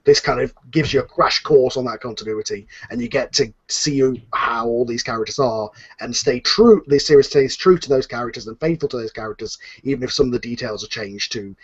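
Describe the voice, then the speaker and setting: A man hosting a casual cast Q&A as the series writer, talking in a rambling, halting way and often interrupting himself. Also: medium pitch, slightly rough, dull audio. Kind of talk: casual online cast Q&A chat.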